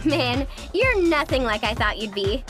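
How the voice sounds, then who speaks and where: A woman's voice: high voice, big pitch swings, a little slow, music in the background. A woman playing a bubbly, friendly young female adventurer in an anime game, chirpy and casual. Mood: amazed.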